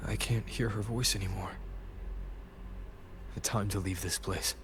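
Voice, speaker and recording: Calm, lowish-voiced young hero played by a man. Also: unhurried, background noise, lightly acted. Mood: puzzled, steady. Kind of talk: scripted video game voice acting. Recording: game music behind the voice.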